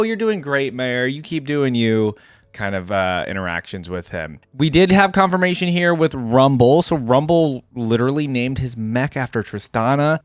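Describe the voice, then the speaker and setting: Very low-pitched, animated woman. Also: no room sound. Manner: chatty, musing. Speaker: reaction video host. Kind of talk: casual reaction commentary.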